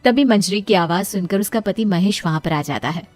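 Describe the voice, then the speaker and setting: A woman's voice: mid-range voice, brisk. A woman reading a scripted story as its narrator. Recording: music behind the voice. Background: background music.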